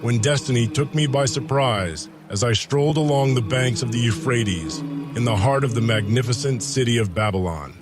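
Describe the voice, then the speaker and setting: A man delivers dramatic first-person narration in a grandiose storyteller's manner. His florid, scripted phrases unfold over clearly audible background music. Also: mid-range voice, normal speed. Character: ancient soldier turned paladin, lovestruck, reminiscing. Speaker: writer and narrator of an audio drama.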